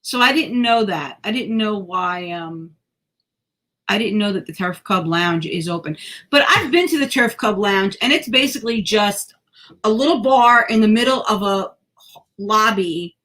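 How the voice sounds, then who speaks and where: A woman's voice: medium pitch, expressive, unhurried, fairly bright tone. A woman co-hosting a podcast in casual chat, talkative and self-deprecating, sometimes tripping over her words. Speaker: podcast co-host.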